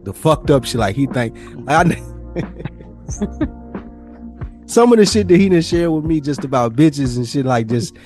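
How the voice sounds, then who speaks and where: A man's voice: a little high, lively intonation, a little slow, pauses often, darkish tone, soft background music. American man hosting, slangy and profane. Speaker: podcast host. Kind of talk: casual banter among friends.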